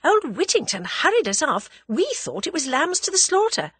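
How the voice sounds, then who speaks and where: Woman playing a spirited young woman, high and eager. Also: big pitch swings, a bit bright, no echo. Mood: relieved, chatty. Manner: eager, quick to question.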